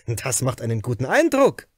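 A man reading an audiobook as an excitable, greedy theatre director who exclaims and repeats himself. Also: mid-range voice, unhurried, husky, no room sound, clean studio sound, full character voice. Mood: gleeful, calculating.

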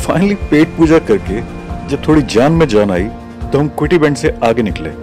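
A man from India narrating a travel vlog in a measured, reflective storytelling voice-over. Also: on the high side, sing-song. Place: voice-over, not recorded on location. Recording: music under the voice.

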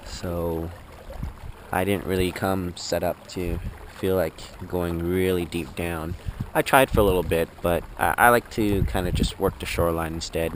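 Low-voiced guy rambling to his gopro out on a lake, water sloshing behind, chill. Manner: relaxed, rambling, easygoing. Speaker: angler filming his trip. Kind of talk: casual talk to camera. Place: outdoors on a lake in an inflatable boat. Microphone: action camera held at arm's length. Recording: action camera audio with water noise outdoors. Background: water and boat noise.

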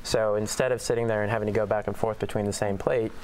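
A young man chatting excitedly about a meal, saying "like" a lot. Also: on the low side, a little hiss or hum, rough recording. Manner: fast, excited, uses "like" a lot. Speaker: guest reviewer. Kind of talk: casual dinner-table chat.

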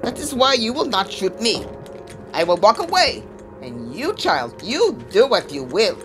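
A woman's voice: mid-range voice, sing-song, music in the background. A woman playing a weary old female spirit medium, solemn and foreboding, in a theatrical audio drama. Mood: stern, weary. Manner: solemn, dramatic, deliberate pronouncements.

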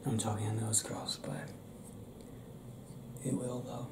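A young man playing a tender, anxious werewolf boyfriend in an ASMR roleplay, breathy and hesitant, speaking intimately up close. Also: lowish voice, little pitch movement, a little slow, very noisy, natural voice. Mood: gently coaxing. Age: young adult. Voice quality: breathy, whispery. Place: small indoor room with pale doors. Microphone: close camera mic, within arm's length. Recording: roomy close-up home recording.

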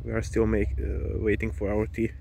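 A man narrating a casual camping vlog in simple, halting English. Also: fairly low pitch, completely flat delivery, warm tone. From Bosnia and Herzegovina. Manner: plain halting delivery in simple non-native English. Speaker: outdoor camping vlogger. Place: outdoors in woods at a night camp. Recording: clearly noisy outdoor camera sound.

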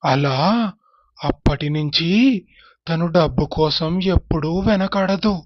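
A man playing a grave schoolmaster in a cartoon moral story, speaking haltingly phrase by phrase. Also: fairly high pitch, dry recording, dull audio. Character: grave schoolmaster disclosing a former pupil's dark past.

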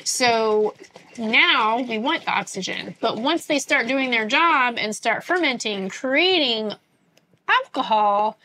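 A middle-aged woman co-hosting a home brewing show, adding quick explanations and asides. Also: a little high, expressive, pushed and bright, rough recording. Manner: chimes in with quick additions and jokes. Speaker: co-host. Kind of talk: casual instructional chat. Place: home studio with shelves of bottles.

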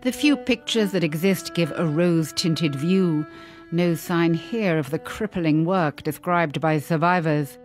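A woman reporter giving steady, measured documentary narration. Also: on the low side, over music.

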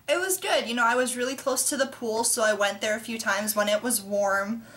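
A woman's voice: on the high side, fairly quick, a bit bright. An American woman in her twenties answering interview questions casually and eagerly as a college student. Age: 20s. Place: small bedroom indoors.

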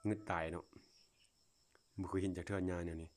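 Casting: male Lao vlogger, low-pitched voice, folksy and full of wonder, off-the-cuff outdoor phone commentary. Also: flat delivery, brisk, a little mellow. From Laos. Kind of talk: casual unscripted commentary to viewers while filming. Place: outdoors, at a grassy patch.

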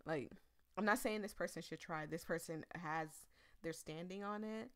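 A woman's voice: lowish voice, normal speed, fairly bright tone. A woman giving casual, chatty and effusive gaming commentary as the host of a channel.

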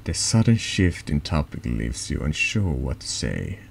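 Man, extremely low voice, slow pace, ASMR-style game narration, halting reading, faint noise. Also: big pitch swings, vocal fry. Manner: halting reading with stumbles and repeats. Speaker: streamer reading a text adventure game aloud.

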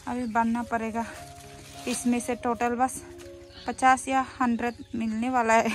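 A young woman with a slightly high voice chats as she shows off vegetables in a garden. She is recorded outdoors on a camera mic, with light background music under her talk. Her manner is easygoing and chatty. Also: little pitch movement, unhurried. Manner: chatty, pointing things out while picking leaves. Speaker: vlogger. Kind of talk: casual vlog chat.

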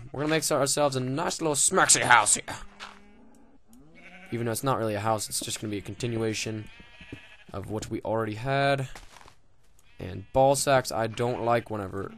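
A man's voice: mid-range voice, normal speed, a bit bright. A young man casually commenting on his game, rambling and joking with lots of swearing and fillers. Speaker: gaming video host.

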